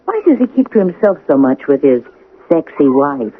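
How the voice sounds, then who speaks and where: An actress playing a chatty, nosy, suspicious wife on a cruise in a radio drama. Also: female voice, deep voice, big pitch swings, normal speed. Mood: puzzled, intrigued. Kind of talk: 1980 radio drama acting. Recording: tinny, noisy old radio broadcast.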